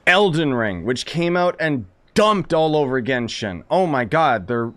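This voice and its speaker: A man's voice: fairly high pitch, very animated, measured pace, a bit bright. A man giving casual live game commentary as a streamer, wry, sarcastic and rambling. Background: game music.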